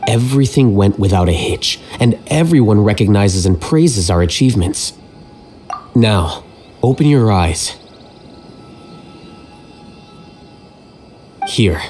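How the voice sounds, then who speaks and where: A man voicing a calculating young scholar in a video game, measured, aloof and matter-of-fact. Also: fairly low pitch, animated, a little mellow. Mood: composed, instructing. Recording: clear music behind the voice.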